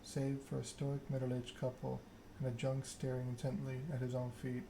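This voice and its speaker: A man narrating a story game aloud in ASMR style, reading in a measured way with occasional stumbles. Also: medium pitch, monotone, vocal fry, noisy background.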